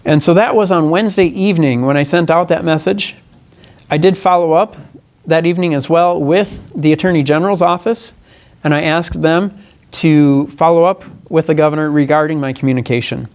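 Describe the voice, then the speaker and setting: A man giving a prepared press conference statement, speaking in an earnest, deliberate way with halts and self-corrections. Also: fairly high pitch, a little mellow. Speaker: congressional candidate. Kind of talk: press conference statement, partly read aloud.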